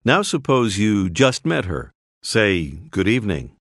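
A man narrating a scripted language course, clearly prompting the listener to repeat phrases. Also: lowish voice, sing-song, slow pace, no room sound. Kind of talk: scripted audio lesson instructions. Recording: dry studio voice-over.

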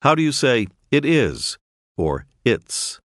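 A man narrating a scripted language course, clearly prompting the listener to repeat phrases. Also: medium pitch, very animated, slow, no echo. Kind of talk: scripted audio lesson instructions. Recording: dry studio voice-over.

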